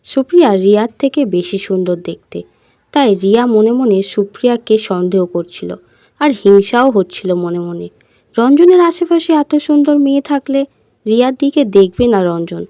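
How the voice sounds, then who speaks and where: A woman's voice: medium pitch, animated, moderate pace, mellow, no room sound, clean studio sound. A woman reading a scripted love story as its narrator.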